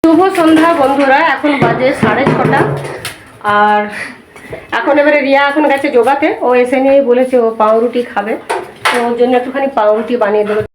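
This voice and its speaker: A woman's voice: sits high, brisk. A woman with an Indian Bengali accent chatting casually for a family vlog, often repeating her phrases. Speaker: family vlogger. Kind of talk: casual home vlog chat. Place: indoors at home. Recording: roomy echo, loud household background noise.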